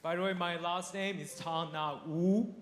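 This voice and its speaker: A man tells his own story to a congregation, in a somewhat high voice and a chatty, laughing, halting way. His words ring through a reverberant church hall. A faint noise sits under the sound. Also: fairly even intonation. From Taiwan. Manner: chatty, laughs often, many restarts and fillers. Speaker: guest speaker. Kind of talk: personal testimony to a congregation. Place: church sanctuary.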